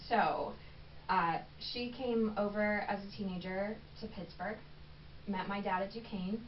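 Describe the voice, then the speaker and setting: A woman's voice: medium pitch, steady tone, measured pace. A woman talking casually as a podcast guest, chatty and candid with plenty of ums. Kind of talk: casual conversational interview. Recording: echoey room, distant mic, dull sound, faint noise.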